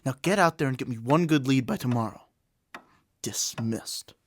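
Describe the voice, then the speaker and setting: A woman's voice: very deep voice, normal speed, a little hiss or hum. A woman playing Billy's part as an obsessive, bossy teenage alien hunter, intense and melodramatic, in a comedic audio drama. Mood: stern, exasperated.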